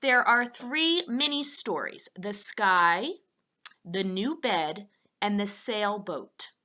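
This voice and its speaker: A woman's voice: mid-range voice, lively intonation, takes it slowly, sharp tone. A woman teacher reading a children's storybook aloud, expressively, with asides spoken directly to her young listeners.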